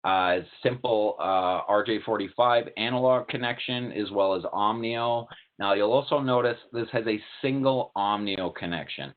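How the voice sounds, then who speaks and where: A man's voice: fairly low pitch, moderate pace, hardly any pauses. A man giving a technical product presentation in a plain, methodical, explanatory way, as a webinar presenter. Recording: tinny narrowband call-like audio.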